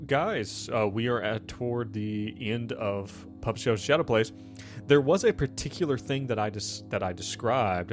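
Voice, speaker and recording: A man hosting a tabletop game session as game master, bantering casually with his players and joking with some swearing. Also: fairly low pitch. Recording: music playing behind the voice. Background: music.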